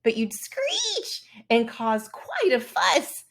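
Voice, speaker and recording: A woman's voice: a little high, huge pitch swings, slow pace, fairly bright tone. A woman hosting a read-aloud, narrating a children's storybook. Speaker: read-aloud channel host.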